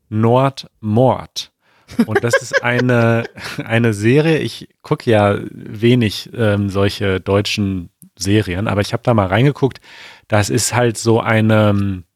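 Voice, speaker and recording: A man co-hosting a podcast in relaxed casual chat, often hesitating and searching for words. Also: lowish voice, no echo, clean studio sound. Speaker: podcast co-host.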